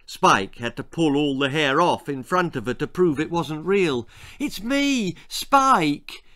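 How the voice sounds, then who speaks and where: A British man in his sixties reading a children's story aloud with expressive, theatrical narration. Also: fairly high pitch, moderate pace. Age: 60s. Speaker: author reading own story. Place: small book-lined room indoors.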